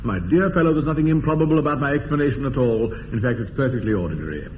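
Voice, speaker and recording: An actor playing a pompous, evasive young upper-class English gentleman in a radio comedy, indignant and formal in his phrasing. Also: medium pitch, fast talker, natural voice. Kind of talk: radio drama acting of period comedy. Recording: tinny band-limited radio, long reverb, hiss.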